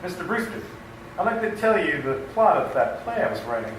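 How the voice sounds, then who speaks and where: A man's voice: sits high, sing-song, a little fast. An actor playing a chummy, eager policeman and would-be playwright in an old-time radio play, folksy and persistent. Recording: distant, roomy, slightly noisy recording.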